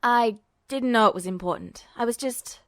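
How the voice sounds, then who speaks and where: A woman's voice: a little high, normal speed, dry recording, studio quality, lightly acted. A woman voicing a cocky, sarcastic boy thief who talks in clipped, broken phrases. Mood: casual, evasive.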